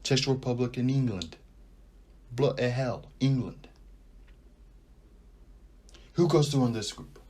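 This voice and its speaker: A young man hosting a sports podcast, talking casually and rambling with animated asides as he thinks out loud. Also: medium pitch, takes it slowly, pauses often. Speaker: podcast host. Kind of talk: casual sports talk monologue.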